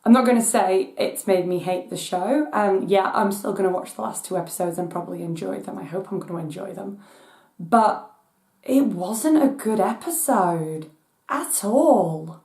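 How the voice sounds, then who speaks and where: A woman hosting her own channel, hoarse from losing her voice, ranting in exasperation as her voice cracks high now and then. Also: moderate pace, warm tone, echoey room. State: hoarse from voice loss. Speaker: channel host. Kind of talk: casual rant vlog.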